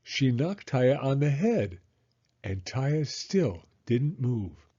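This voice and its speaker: A man reading a children's story aloud in an animated, deliberate narrating style. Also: medium pitch, slightly rough, highs cut off. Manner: expressive, deliberate, drawn-out phrasing.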